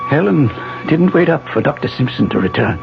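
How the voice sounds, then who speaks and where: An actor playing an obsessive, secretive scientist husband in a 1970s radio drama, speaking in a deliberate, controlled, persuasive way. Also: male voice, mid-range voice, big pitch swings, vocal fry. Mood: secretive, brooding. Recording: muffled 1970s radio broadcast, music behind.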